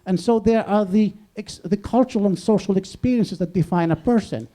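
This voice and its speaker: A man speaking off the cuff as a professor in an academic discussion, discursive and halting, rephrasing as he goes. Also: high voice, warm tone. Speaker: professor on a debate panel. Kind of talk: extemporaneous academic discussion before an audience.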